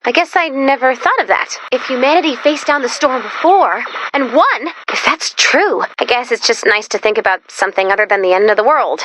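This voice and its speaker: A woman's voice: fairly high pitch, expressive, fairly bright tone, slight background noise, poor audio. A woman voicing a perky, nervous, geeky female robot assistant in a video game, chatty, quirky and easily flustered. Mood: eager, upbeat. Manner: flustered, quirky, halting then gushing. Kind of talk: animated video game character voice lines.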